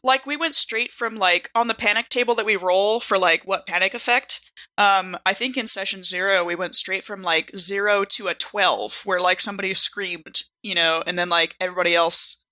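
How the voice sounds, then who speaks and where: Female podcast player, strikingly bright, forward voice, close dry sound, informal chatty delivery. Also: mid-range voice, moderate pace, no echo. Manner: informal, sprinkles speech with "like". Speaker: podcast cast member and player. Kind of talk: casual chat.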